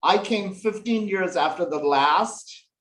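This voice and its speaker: A man's voice: high voice, slow pace, fairly bright tone. A man from Nepal, a fashion illustration professor, telling stories from his life as an interview guest, with lots of fillers. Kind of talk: relaxed storytelling interview answers.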